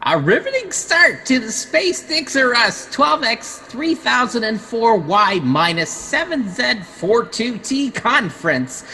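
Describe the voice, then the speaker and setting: Woman as bright, animated conference emcee. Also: on the high side, exaggerated voice. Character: enthusiastic female conference emcee introducing speakers. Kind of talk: formal stage announcing in satire audio drama. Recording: slightly noisy video-call audio.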